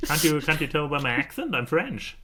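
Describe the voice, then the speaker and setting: A man's voice: a little high, very animated, fairly quick, sharp tone. A man with a German accent co-hosting a casual podcast, joking dryly and often stammering as he restarts his thoughts. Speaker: podcast co-host. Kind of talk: casual banter podcast chat.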